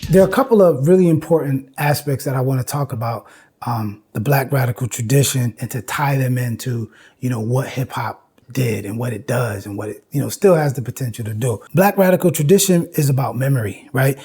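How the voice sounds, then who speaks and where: A man's voice: mid-range voice, a little fast, warm tone, breathy edge, faint music behind. An American man in his fifties giving informal educational commentary, emphatic and repeating phrases to drive points home. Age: 50s. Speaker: video essay host.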